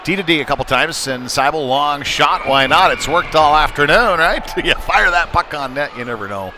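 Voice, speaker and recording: A man's voice: fairly high pitch, lively intonation, moderate pace. A man giving live play-by-play hockey commentary in clipped phrases with conversational asides. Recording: noisy rink ambience behind live commentary. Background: sports venue noise.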